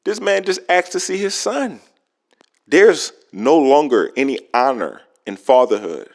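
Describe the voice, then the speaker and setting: A man's voice: on the high side, expressive, a little slow. A man giving opinionated commentary in an emphatic way, asking rhetorical questions and drawing out words for effect. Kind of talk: opinionated gossip commentary to camera.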